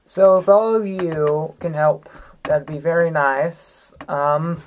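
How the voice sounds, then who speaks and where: A woman with a low voice plays a tabletop game, talking casually and slowly through her moves. The recording has clear background noise and clicks. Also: fairly even intonation, narrow, tinny audio. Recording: noisy group recording with clicks.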